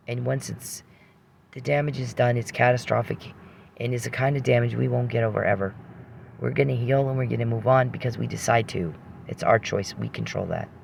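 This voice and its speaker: Male vlogger, heavy vocal fry, emphatic and repetitive off-the-cuff delivery with halting restarts, slight background noise. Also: medium pitch, a little mellow. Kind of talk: unscripted personal monologue.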